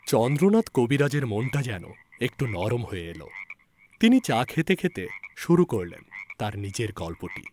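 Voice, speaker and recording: A man's voice: medium pitch, very animated, normal speed, a little mellow, slight background noise. A man reading scripted story narration as the narrator of an audio tale.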